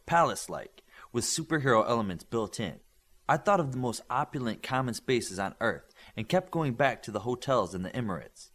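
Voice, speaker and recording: A man voicing a confident, friendly teenage superhero girl who explains things proudly in an audiobook. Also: mid-range voice.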